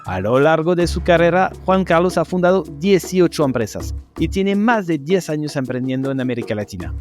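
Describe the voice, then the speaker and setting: A man's voice: a little high, expressive, brisk, faint music behind. Man hosting a podcast interview. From France. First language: French. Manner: enthusiastic, warm praise with frequent hesitation fillers. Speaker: podcast host. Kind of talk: scripted intro, then casual interview chat.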